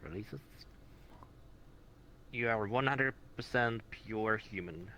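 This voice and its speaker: A man playing an analytical, cautious examiner of the supernatural in an improvised virtual-reality roleplay, speaking in a deliberate, explanatory way. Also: lowish voice, pushed and bright, dull audio, a little hiss or hum. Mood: emphatic, clinical.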